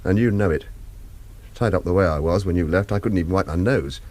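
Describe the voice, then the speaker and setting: A man's voice: low-pitched, fairly quick, a little mellow. An actor playing a wry, wary ex-agent on the run who tells his own story in a terse, hard-boiled radio thriller style. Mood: wary, insistent. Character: retired male agent turned wary hunted fugitive, wry. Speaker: lead character and first-person narrator. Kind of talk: radio thriller acting and narration.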